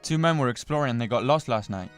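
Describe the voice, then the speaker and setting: A man's voice: mid-range voice, expressive, soft background music, lightly acted. A man playing an urgent townsman with emergency news in an old-time radio drama. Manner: urgent, hurried.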